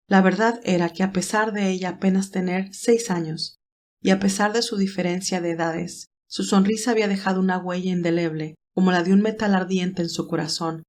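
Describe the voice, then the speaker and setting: A woman's voice: fairly low pitch, a little mellow. A woman reading scripted, dramatic narration of a romantic story as its narrator.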